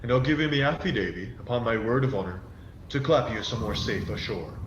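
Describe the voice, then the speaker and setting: A man's voice: medium pitch, lively intonation, fairly quick, natural voice. A man playing a sly, menacing pirate ringleader with false politeness and folksy seadog talk in a radio play. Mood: wheedling, sly. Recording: echoey, dull, faintly noisy home recording.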